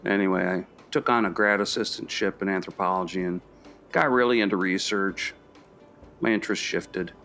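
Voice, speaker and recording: A man's voice: low voice, steady tone, normal speed, bright, forceful tone, faint music behind. A man acting in a radio play as an introspective middle-aged professor, speaking in a hesitant, reflective, conversational way. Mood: wistful, reflective. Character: introspective, kindly anthropology professor, a parent.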